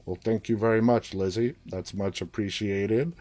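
A man's voice: lowish voice, steady tone, moderate pace, dark tone. A man from New York with a New York accent, hosting a casual live show and chatting as he reads viewer comments. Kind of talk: casual live-stream chat.

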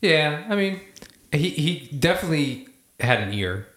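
A man's voice: on the high side, big pitch swings, a little slow, fairly bright tone. A man co-hosting a casual band podcast, rambling and self-deprecating and laughing often. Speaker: podcast co-host, band member. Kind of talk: casual chat. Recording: echoey room.

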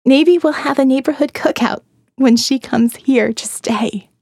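Female narrator, eerie and dreamy, hushed dips, dry booth sound. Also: a little slow, no echo. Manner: dreamy, eerie, drops to hushed low tones. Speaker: audiobook narrator. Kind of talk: scripted first-person narration.

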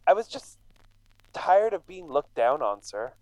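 Radio drama role, male actor: injured immigrant farmhand, remorseful and faltering, very changeable pitch, dry recording. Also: big pitch swings, unhurried, darkish tone, dry recording, rough recording. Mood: confused, ashamed. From England. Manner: faltering, stammering.

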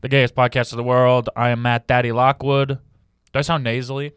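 A man's voice: medium pitch, little pitch movement, moderate pace, smooth, no room sound, studio quality. A man hosting a casual comedy podcast, joking and teasing his co-hosts. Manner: joking, teasing, laughs often. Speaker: podcast host.

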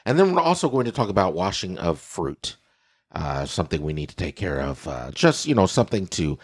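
A man with a raspy voice hosting a casual podcast, telling stories in an animated way and repeating himself for effect. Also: low voice, a little fast. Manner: animated storytelling with repetitions. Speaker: podcast host.

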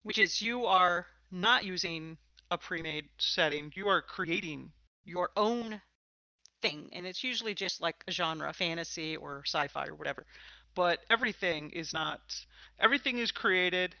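A woman's voice: on the low side, moderate pace, bright, forceful tone, dry recording. A woman hosting a podcast, chatting and interviewing in an informal, teasing, encouraging way. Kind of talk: casual conversational interview. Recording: slightly dull, lo-fi podcast audio.

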